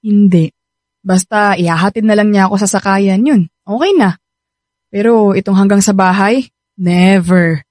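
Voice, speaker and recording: A woman playing a hesitant, soft-hearted young woman in a Filipino radio romance, speaking with a Filipino accent. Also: mid-range voice, moderate pace, no echo, studio quality, natural voice. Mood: modest, resigned. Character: young woman torn between boyfriend and friend. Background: background music.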